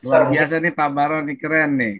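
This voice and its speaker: A man with a slightly raised voice and an Indonesian accent, running a video call. He is brisk and encouraging as he calls on participants, inviting them to turn on their mics. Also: on the high side, fairly even intonation, noisy background. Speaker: moderator.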